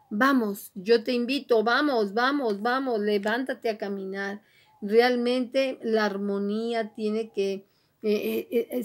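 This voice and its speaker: High-voiced woman giving an encouraging card reading. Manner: encouraging, exhorting, repeats urgings. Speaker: tarot and oracle card reader, host. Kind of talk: motivational horoscope card reading to the listener.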